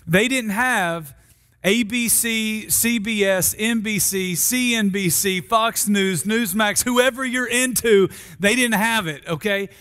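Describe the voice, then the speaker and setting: An American man preaches from the stage of a large church auditorium. His voice sits high for a man, and he drives his points home with deliberate, emphatic phrasing. A long hall reverb trails his words. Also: pushed and bright, smooth. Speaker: pastor. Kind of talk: sermon.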